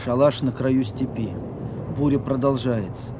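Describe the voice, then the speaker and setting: A man's voice: medium pitch, steady tone, unhurried, heavy background noise. A man narrating scripted stage directions for a radio drama.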